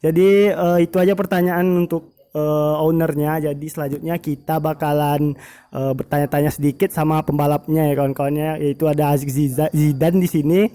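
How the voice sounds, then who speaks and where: A man's voice: fairly high pitch, level tone, fairly quick, dark tone. A male motovlog host interviewing a racing team, chatty and full of fillers, often turning to speak to his viewers. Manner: chatty, frequent fillers, keeps addressing viewers as friends. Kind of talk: casual interview, addressing viewers.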